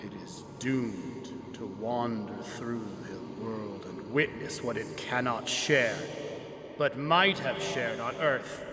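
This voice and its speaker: A man's voice: mid-range voice, slow pace, noisy background. An actor playing a stern, mournful male ghost in an audio drama, with solemn, wailing declamation and heavy reverb. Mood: anguished. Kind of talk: audio drama acting of a Victorian tale. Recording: long hall-like reverb.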